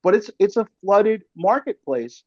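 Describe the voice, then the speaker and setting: Higher-pitched man hosting, slightly dull sound. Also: animated, measured pace, no echo. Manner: emphatic, slangy, speaks in analogies. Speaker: podcast host and interviewer. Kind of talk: casual film interview.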